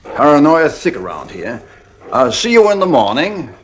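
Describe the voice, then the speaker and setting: An actor playing a brisk, blunt, uneasy British family friend in a radio drama, middle-aged. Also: male voice, on the high side, sing-song, slight background noise. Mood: brisk, concerned.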